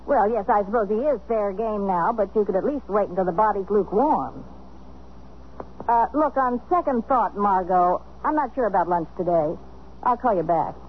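An actress playing a brisk, sharp-tongued wife in a 1970s radio comedy drama. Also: female voice, mid-range voice, close to an everyday voice. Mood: breezy, catty. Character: brisk, tart wife newly split from her husband. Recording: dull, noisy 1970s radio broadcast.

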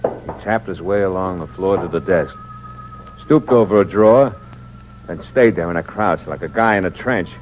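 An actor playing a cold-blooded, cynical killer in a 1940s American radio thriller, terse and slangy. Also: male voice, low voice, normal speed, faint music behind, lightly acted. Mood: grim, confiding. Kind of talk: 1940s radio thriller acting, first-person hardboiled narration. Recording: muffled old radio recording with hum and hiss.

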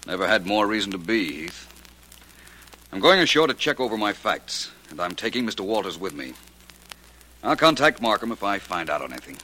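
An actor playing a cool, courteous private detective with authority and a polished, measured way of questioning, in 1940s radio drama style. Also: male voice, fairly low pitch, big pitch swings, bright, forceful tone, heavily acted. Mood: businesslike. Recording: noisy vintage 1940s radio recording.

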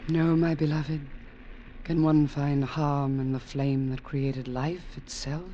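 A woman's voice: sits low, level tone, slow pace, dark tone, slight background noise. An actress playing a regal, seductive immortal queen, solemn and stately, in 1940s radio drama style. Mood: tender, commanding. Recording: muffled vintage radio recording, music underneath.

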